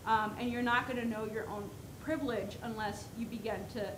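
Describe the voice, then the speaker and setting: A woman's voice: fairly high pitch, fairly even intonation, a little fast. A woman on a conference panel, speaking hesitantly with many fillers. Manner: hesitant, many ums, laughs lightly. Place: conference session room. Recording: roomy session recording with noise.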